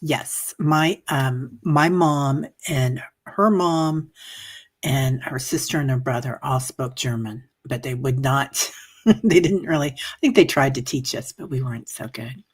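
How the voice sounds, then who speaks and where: A woman with a strikingly low, husky voice hosts a casual livestream. She rambles warmly through viewer comments with plenty of fillers. A cough lingers, leaving her a little hoarse. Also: measured pace. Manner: chatty, rambling, many fillers. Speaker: livestream meditation host. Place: home livestream.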